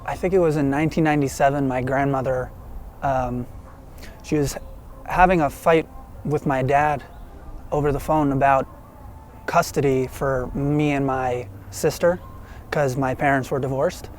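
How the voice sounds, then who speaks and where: A young man from New York haltingly recounting a family story to camera. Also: mid-range voice, fairly even intonation, darkish tone, a little hiss or hum. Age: young adult. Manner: hesitant, halting. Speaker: interviewee, young artist.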